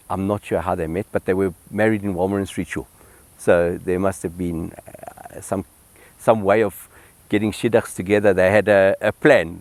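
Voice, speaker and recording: Low-voiced man reminiscing. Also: a little mellow, no echo. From South Africa. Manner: rambling, many ums and restarts. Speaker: interviewee. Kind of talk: relaxed personal reminiscence. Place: his home.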